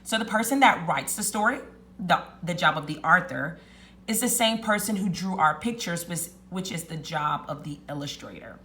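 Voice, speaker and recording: A woman teacher reading a children's story aloud in an expressive, deliberate, emphatic storytelling manner. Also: fairly low pitch, a little hiss or hum.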